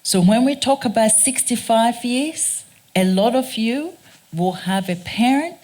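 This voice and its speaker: A woman from Ghana with a Ghanaian accent, giving a prepared public talk in an earnest, deliberate, emphatic manner. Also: a little high, animated, a little mellow, poor audio. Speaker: guest speaker, race scholar. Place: speaking live at a theatre event.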